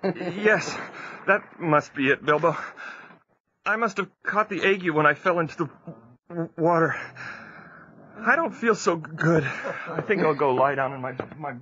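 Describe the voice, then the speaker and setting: A man's voice: fairly high pitch, animated, measured pace, pushed and bright. An actor playing an eager, polite, plucky young cabin boy, earnest and well-mannered, in an audio drama. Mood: trembling, weak. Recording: dull lo-fi audio, faint noise.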